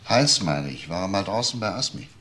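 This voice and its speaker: An actor playing a calm, reserved middle-aged police investigator in a German crime radio drama, laconic and understated. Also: male voice, deep voice, a little fast, slight background noise. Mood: gentle, probing.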